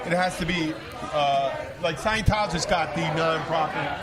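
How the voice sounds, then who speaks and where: A man with a high voice hosts a casual, bantering podcast. He talks haltingly, circling back over his own words, while a loud crowd chatters all around him. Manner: halting, repeats himself. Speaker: podcast host. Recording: crowded, noisy public room chatter.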